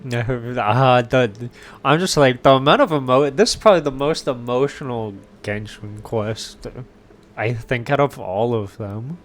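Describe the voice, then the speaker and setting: A man's voice: mid-range voice, a little slow. A male streamer giving casual, reactive commentary while playing through a game story. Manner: reactive, musing, exclamatory.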